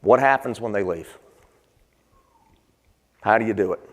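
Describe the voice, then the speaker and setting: Man, conference presenter, low-ish voice, questions audience, long hall reverb. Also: a little slow. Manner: conversational, quizzes the audience with questions. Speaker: session presenter. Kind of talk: conference panel talk. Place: conference session room.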